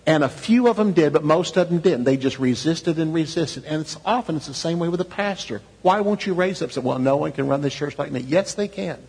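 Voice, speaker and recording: In a big reverberant hall, a male preacher speaks with a husky voice. He exhorts in a conversational way, posing rhetorical questions and voicing imagined replies as he leads the session. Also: mid-range voice. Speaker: preacher leading a church leadership session. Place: large echoing hall, speaking to a group.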